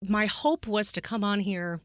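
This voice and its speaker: A woman's voice: mid-range voice, steady tone, measured pace, no echo, studio quality. A woman hosting a podcast with casual, opinionated talk, emphatic and repeating phrases for stress. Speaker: podcast host.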